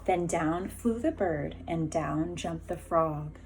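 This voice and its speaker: A woman's voice: sits low, takes it slowly, background noise. A woman reading a storybook aloud to young children as a teacher, drawing out key words for emphasis.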